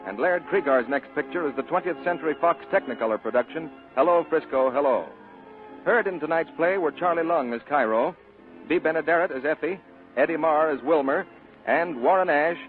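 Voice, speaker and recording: A man's voice: fairly high pitch, moderate pace. An American man announcing from a script in 1940s radio style. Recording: muffled old radio with faint music, audience.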